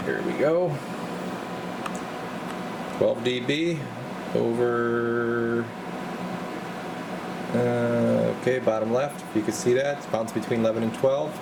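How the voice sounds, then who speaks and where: A man's voice: mid-range voice, slow pace, vocal fry, long pauses. A man giving a casual hands-on demonstration as a repair technician, talking himself through each step informally. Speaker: radio repair technician presenting his bench work. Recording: noisy workshop recording with loud steady hiss.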